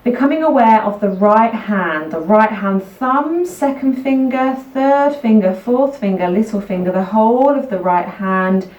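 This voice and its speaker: A woman teaching a guided relaxation practice for children, speaking soothingly in unhurried, repetitive instructions with gentle pauses. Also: on the high side, lively intonation. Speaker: yoga nidra teacher. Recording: roomy echo, distant microphone.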